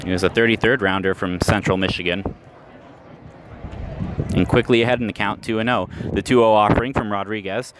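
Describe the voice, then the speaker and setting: A man calling baseball play-by-play in radio style, measured between pitches and lifting sharply on big plays. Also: fairly even intonation. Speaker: play-by-play announcer. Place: ballpark stands, outdoors. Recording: live ballpark recording with outdoor noise.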